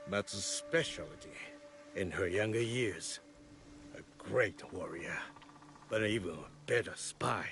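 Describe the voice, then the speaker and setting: A man playing a stern, composed shinobi clan leader in a video game, speaking in a measured, formal, instructive way. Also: on the low side, a bit bright. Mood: calm, instructive. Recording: clear background ambient noise.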